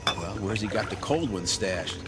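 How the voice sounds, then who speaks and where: A man's voice: lowish voice, animated, moderate pace, music in the background, close to an everyday voice. Man playing a brash, wisecracking space crewman. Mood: wry, impatient. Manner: folksy, breezy, blunt. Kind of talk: dramatic science fiction audio drama.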